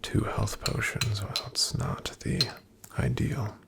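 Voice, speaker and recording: A man whispering as a gravelly calm paladin. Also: on the low side, slow, exaggerated voice. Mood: calm hushed. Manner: soft, gentle whispering to the listener. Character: calm, careful male paladin travel companion. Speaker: ASMR roleplay performer. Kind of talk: ASMR roleplay, whispered.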